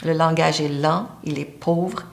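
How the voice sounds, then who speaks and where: A woman's voice: low voice, fairly even intonation, a little slow, creaky. A woman lecturing on a medical topic, explaining step by step and pointing to a diagram. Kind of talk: teaching lecture.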